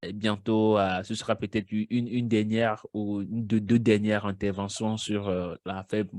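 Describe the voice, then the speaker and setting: Casting: man, webinar moderator, formal and courteous, lowish voice, dry close sound. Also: flat delivery, moderate pace, a little mellow, no echo. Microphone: video call.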